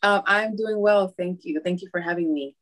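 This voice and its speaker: Woman from harlem, math teacher, lowish voice, candid, lots of ums, casual interview. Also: normal speed, poor audio. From Harlem, New York. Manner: candid, with hesitant fillers and soft laughs.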